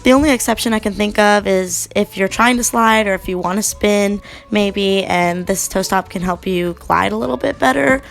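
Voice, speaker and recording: A young woman with a sore, raspy throat giving a chatty how-to voiceover about skate parts. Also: medium pitch, normal speed, hardly any pauses. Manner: chatty, relaxed, with many fillers. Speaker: skating vlogger. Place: home at night.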